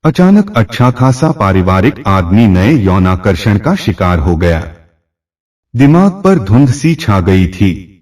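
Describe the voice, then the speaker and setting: Slow, husky male storyteller. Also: fairly low pitch, big pitch swings, a little mellow, far from the mic. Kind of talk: scripted story narration.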